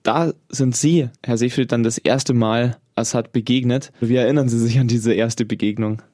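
A male interviewer asking plain, direct questions. His voice is dark and recorded dry and close. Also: medium pitch, fairly even intonation, moderate pace, no echo.

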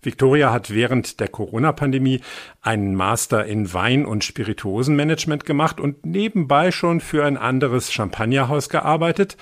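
A man's voice: medium pitch, moderate pace. A man hosting a wine podcast, chatting in a friendly and curious way with his guest. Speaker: podcast host. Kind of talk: podcast interview, relaxed chat.

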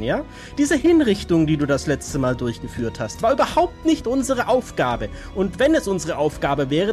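A man acts out a hot-tempered vampire enforcer with a high voice that swings widely. He is insistent, repeats himself and trips over words, as in a radio play. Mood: angry accusing. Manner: insistent, stammering, repeats himself when agitated. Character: hot-tempered male vampire enforcer defending his loyalty. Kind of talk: German audio drama acting.

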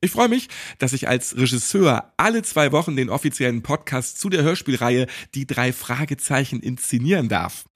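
Animated male podcast host. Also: medium pitch, normal speed, few pauses. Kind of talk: lively scripted intro and interview.

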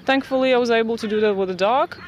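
A woman's voice: on the high side, fast, dull audio. A young woman in her twenties from Ukraine, answering interview questions in casual English with many fillers and hesitations, recorded outdoors in a city park. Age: 20s.

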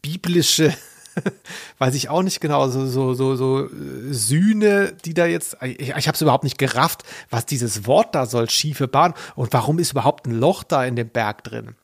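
A man's voice: mid-range voice, moderate pace, slightly rough, dry recording, studio quality. A man co-hosting a pop-culture podcast, talking casually in a hesitant, searching and amused way. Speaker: podcast co-host.

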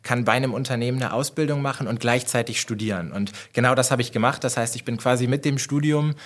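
A man in his forties from Germany with a northern German accent, speaking as a guest in an interview. He talks in a measured, reflective way, pausing on fillers and restarting his phrases, at a mid pitch. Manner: thoughtful, many fillers and restarts. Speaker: managing director, guest. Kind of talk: conversational interview answers.

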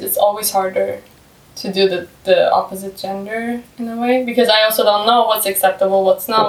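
A woman chatting casually on a podcast, rambling and using lots of fillers. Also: medium pitch. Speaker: podcast co-host. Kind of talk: casual discussion. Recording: echoey room, far from the mic.

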